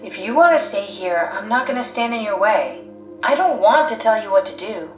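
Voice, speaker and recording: A woman's voice: mid-range voice, lively intonation, normal speed, close to an everyday voice. A woman playing a pragmatic, driven, blunt scientist in a video game, analytical, matter-of-fact and persuasive. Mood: determined. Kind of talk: video game voice acting, naturalistic dialogue. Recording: echoey sound. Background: faint music.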